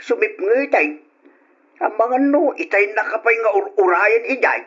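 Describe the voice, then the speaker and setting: A man's voice: sits high, moderate pace, a bit bright, muffled recording. An actor playing a bossy middle-aged father who nags his son in an old radio drama. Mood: urgent nagging.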